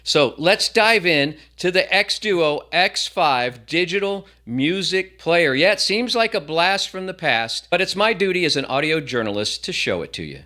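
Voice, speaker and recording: A man's voice: fairly high pitch, big pitch swings, unhurried, few pauses, sharp tone. A man hosting a casual gadget review, chatting to the viewer with self-deprecating asides. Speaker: tech reviewer, host.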